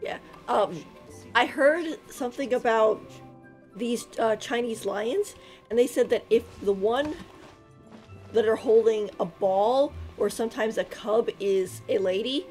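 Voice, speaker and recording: Slow-talking woman streaming a game. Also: a little high, a little mellow, faint music behind. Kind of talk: casual livestream commentary.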